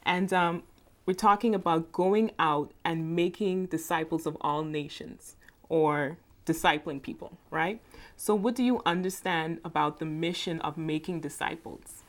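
A woman hosting a casual group discussion with a fairly low voice. She sounds friendly and upbeat and keeps things moving with short encouraging replies. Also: a little slow. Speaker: host.

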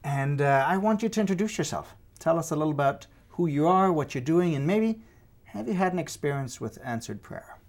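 A man hosting a religious discussion show, chatty and relaxed, sharing a childhood story with a laugh. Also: a little high, a little fast. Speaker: host. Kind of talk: casual talk show hosting.

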